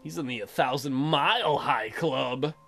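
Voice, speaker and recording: A man's voice: mid-range voice, unhurried, vocal fry, sharp tone. A man hosting a let's play, narrating and commenting on a game in a casual, irreverent, jokey way. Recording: music behind the voice.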